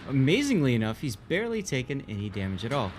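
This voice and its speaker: A man giving conversational live commentary as an esports shoutcaster. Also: mid-range voice, huge pitch swings, fast talker, slight background noise.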